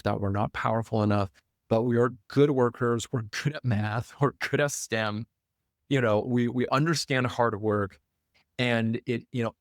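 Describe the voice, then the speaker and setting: A man's voice: fairly low pitch, dry recording. Man talking casually on a dry mic. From Taiwan. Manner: casual, conversational, many fillers and restarts. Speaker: podcast host and author. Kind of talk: solo podcast monologue.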